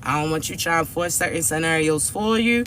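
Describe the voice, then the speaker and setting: Male host, raspy and a little hoarse, high and bright-toned, checks in with listeners every line. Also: slight background noise. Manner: repeats "all right?" and "chosen ones", slow and emphatic. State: hoarse from allergies. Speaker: spiritual reader and channel host. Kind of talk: direct-to-camera motivational spiritual message.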